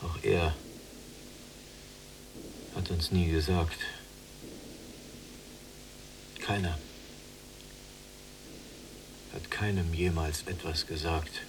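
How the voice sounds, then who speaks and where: An actor playing a yielding, resigned younger prisoner in a radio drama, with terse, dry phrasing. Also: male voice, sits low, fairly even intonation, slow. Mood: subdued. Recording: distant mic, noisy hum.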